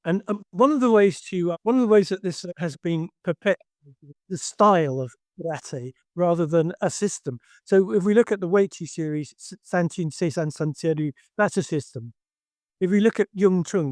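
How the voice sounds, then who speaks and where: Higher-voiced older man, emphatic talker. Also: a little mellow, dry recording, clean studio sound. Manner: emphatic, with frequent restarts. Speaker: karate teacher. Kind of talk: discussion.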